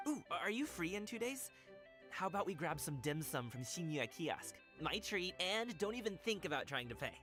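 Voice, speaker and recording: An actor playing a friendly, generous, happy-go-lucky young courier in a video game, with an eager, casual manner. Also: male voice, high voice, big pitch swings, moderate pace, over music.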